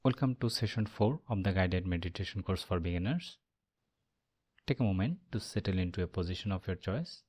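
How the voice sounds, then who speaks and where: Low, mellow male meditation guide. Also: slightly rough. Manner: calm, measured instructing with long pauses. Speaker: meditation instructor.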